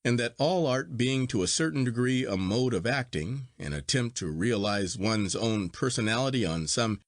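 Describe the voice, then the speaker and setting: Man narrating with lively, swooping intonation. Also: medium pitch, no echo, studio quality. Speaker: audiobook narrator. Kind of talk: literary story narration with acted dialogue.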